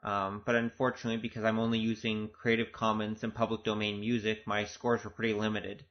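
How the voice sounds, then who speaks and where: A man's voice: on the low side, monotone, a little fast. A man speaking as an audio drama producer, giving an informal, earnest and conversational director's commentary. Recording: dull, lo-fi recording.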